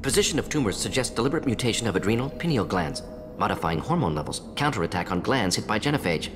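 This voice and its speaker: An actor playing a clinical alien scientist in a video game, speaking analytically in clipped, telegraphic phrases. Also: male voice, on the low side, big pitch swings, quick pace, music in the background. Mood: brisk, analytical. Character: clinical, brisk male alien scientist with firm ethics. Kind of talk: video game character dialogue.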